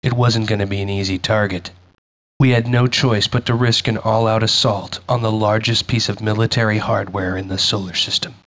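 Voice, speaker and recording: An actor playing a grave soldier who recounts a past war in measured game cutscene narration. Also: male voice, on the low side, steady tone, fairly bright tone, a little hiss or hum, close to an everyday voice. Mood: grave, reflective.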